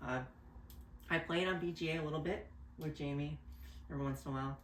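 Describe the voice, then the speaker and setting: A man's voice: on the high side, steady tone, fast, slight background noise. A man co-hosting a board game review show. He explains game rules casually and hesitantly, with many "uh" fillers. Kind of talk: casual chat. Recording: roomy echo.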